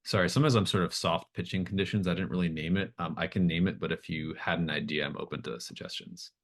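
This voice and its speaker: A man with an extremely low voice acts as game master for a tabletop horror game. He improvises his narration thoughtfully, feeling his way through each description with halting phrases. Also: steady tone.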